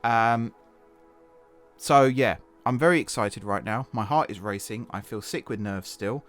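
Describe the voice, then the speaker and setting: A man's voice: medium pitch, a little slow, a bit bright, soft background music. Chatty man narrating gameplay. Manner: rambling, self-correcting, chatty. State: slightly hoarse. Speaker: gaming channel host. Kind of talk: casual gameplay voice-over. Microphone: desktop voice-over mic.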